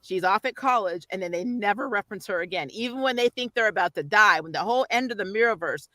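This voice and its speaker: Bright-voiced, emphatic woman. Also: medium pitch, fast, dry recording. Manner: exasperated, emphatic ranting, laughs often. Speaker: host of a pop culture review show. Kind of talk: casual, opinionated TV recap chat with a co-host.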